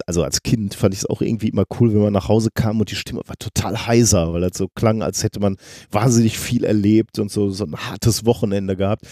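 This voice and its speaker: Man with a cold, podcast host, mellow tone, rambling self-mocking chat, dry close recording. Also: on the low side, no room sound. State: has a cold.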